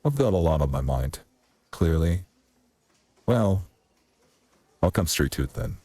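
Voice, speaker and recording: A man voicing a wistful, rambling theatre man in a video game, with a theatrical, deliberate and wordy manner. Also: deep voice, big pitch swings, mellow, husky, no room sound, exaggerated voice. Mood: hesitant, formal. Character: Carrington, wistful rambling male theatre man pleading his case.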